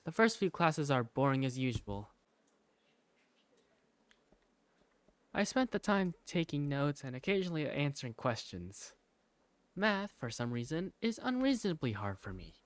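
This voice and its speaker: A man voicing a curious, self-doubting high school student who narrates a visual novel fandub in the first person. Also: big pitch swings, moderate pace, no echo, lightly acted. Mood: reflective.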